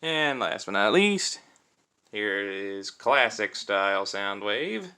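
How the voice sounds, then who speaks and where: A man's voice: on the low side, big pitch swings, slow pace, bright, forceful tone, clean tone. A man giving a casual hands-on product review in an informal, conversational way, thinking aloud as he demonstrates. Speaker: toy reviewer and host.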